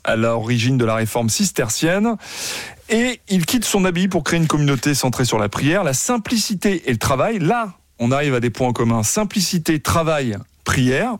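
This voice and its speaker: A man's voice: a little high, big pitch swings, normal speed, no echo. A man hosting a studio interview, asking playful, teasing questions in a casual tone. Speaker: host.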